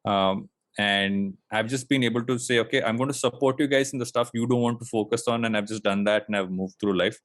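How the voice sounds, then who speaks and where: A man's voice: lowish voice, fairly even intonation, fairly quick, a bit bright, dry recording. Reflective late-thirties man, dry close sound. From India. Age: late 30s. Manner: reflective, rambling with many fillers and restarts. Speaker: podcast guest. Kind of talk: casual interview chat.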